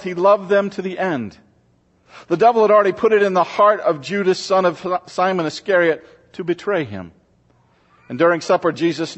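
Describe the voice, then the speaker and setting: A male pastor reads scripture in a solemn, measured way, with phrases that fall away at the end. His voice sits a little higher than most men's. The recording is somewhat dull, with a long reverberant tail as in a large room. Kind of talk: scripture reading and sermon.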